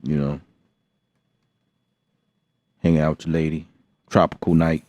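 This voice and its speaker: A man's voice: rumbling low, flat delivery, unhurried, darkish tone, dry recording. A man hosting a live beatmaking session, chatting casually and rambling with lots of fillers and restarts. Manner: laid-back, rambling, many fillers and restarts. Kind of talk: casual live-stream chat. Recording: dull lo-fi sound.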